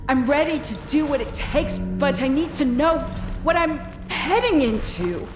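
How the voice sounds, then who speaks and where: An actress playing a serious, direct young special agent in a dramatic video game cutscene. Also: female voice, sits high, measured pace. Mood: demanding, resolute. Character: determined, questioning female special agent, tense and loyal. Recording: echoey reverb, loud music behind.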